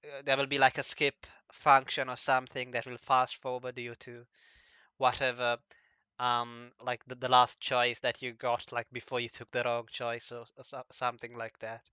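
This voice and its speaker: Hesitant, stammering man with a bright voice. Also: medium pitch, monotone, moderate pace, clear, resonant tone, dry recording. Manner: very hesitant, stammering with repeated word starts. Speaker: host of a visual novel talk stream. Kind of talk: casual chat.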